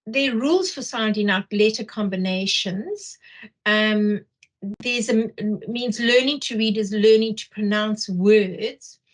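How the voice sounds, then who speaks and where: A middle-aged woman with a Zimbabwean accent explaining material as a workshop trainer, haltingly, often repeating phrases for emphasis. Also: medium pitch, low-quality recording. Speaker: teacher trainer leading a workshop. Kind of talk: explanatory lecture.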